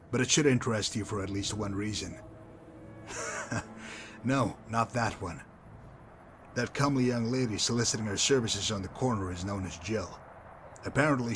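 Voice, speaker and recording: A man's voice: on the low side, fairly bright tone, a little hiss or hum, lightly acted. A man voicing a sardonic, menacing enforcer in a video game, wry, wordy and mockingly polite. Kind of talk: scripted video game dialogue.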